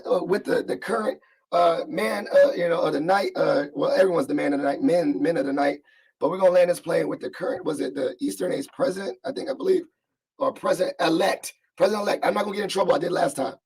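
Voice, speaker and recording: Raspy, gravelly male host on a video call, upbeat and rambling, slightly high voice. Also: darkish tone, poor audio. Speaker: podcast host. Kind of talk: casual chat.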